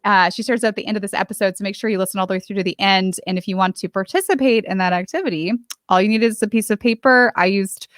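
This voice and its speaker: A woman's voice: mid-range voice, fast, fairly bright tone, no room sound, clean studio sound. A woman co-hosting a podcast, introducing the episode in a bubbly, giggly way. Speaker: podcast co-host.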